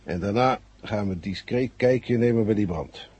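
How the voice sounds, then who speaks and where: An actor playing an eager patrolman in a radio play, with a rough, husky voice and a respectful, easygoing manner. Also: lowish voice, moderate pace, heavy background noise, close to an everyday voice. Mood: casual, shrewd. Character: deferential, eager uniformed patrolman, easygoing with his partner. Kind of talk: 1970 radio play acting.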